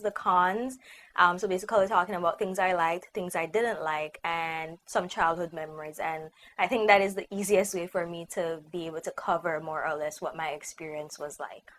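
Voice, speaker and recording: A woman's voice: fairly low pitch, a little hiss or hum. A young woman born in Guyana and raised in Jamaica, with a Jamaican accent, chatting casually and upbeat to her vlog audience with lots of "like" fillers. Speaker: vlogger.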